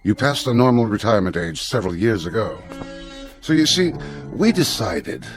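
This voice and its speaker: An actor playing a formal, tactful, evasive school headmaster in a radio drama, stiffly courteous and measured in his wording. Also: lowish voice, sing-song, faint music behind. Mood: apologetic, smooth.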